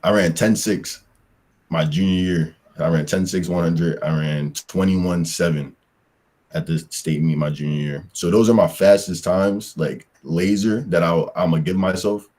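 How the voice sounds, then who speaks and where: A young man with an extremely deep voice and a husky edge tells about his football and track years. His talk is loose and rambling, full of slang and "like" fillers, in a casual interview. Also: measured pace, a little mellow. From Pennsylvania. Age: young adult. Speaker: football player guest.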